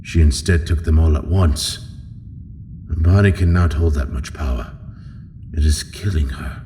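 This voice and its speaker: Deep, reverberant alien lord. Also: male voice, noisy background. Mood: grave. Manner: formal, measured. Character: proud, calculating alien noble, reluctant male subordinate of a warlord. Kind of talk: audio drama acting. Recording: long hall reverb, faint ambience.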